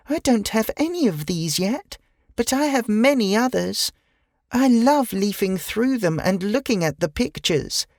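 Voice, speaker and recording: A woman's voice: medium pitch, dry recording, clean studio sound. A woman voicing a kind, cheerful little girl in a children's storybook, chirpy and earnest in manner. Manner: chirpy, wide-eyed, earnest. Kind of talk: children's storybook character acting.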